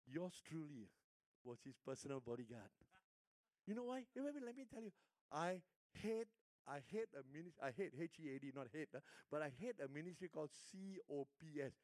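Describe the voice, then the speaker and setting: An older man with a Singaporean accent, a pastor preaching a sermon and telling stories, moving between hushed lines and emphatic ones. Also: on the high side, no room sound.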